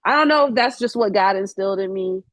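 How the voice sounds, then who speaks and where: A woman's voice: mid-range voice, fast, a bit bright, no echo. A woman in her late twenties, a podcast guest chatting casually, candid and blunt with frequent swearing and laughter slipping into her sentences. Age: 20s. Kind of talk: casual interview chat.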